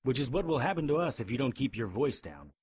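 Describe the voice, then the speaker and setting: Skeptical private eye, audio drama lead, husky and blunt, widely varied pitch, wry urgency. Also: male voice, big pitch swings, fast talker, darkish tone, no room sound. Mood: urgent. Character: gruff, skeptical amnesiac private investigator hero.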